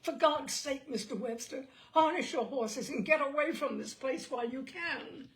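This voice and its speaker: Woman playing a desperate farmer, pitched high, pleading. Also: moderate pace, lightly acted. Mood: fearful, urgent. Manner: shaking, pleading, groaning. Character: terrified, desperate New England farmer, brave through his fear.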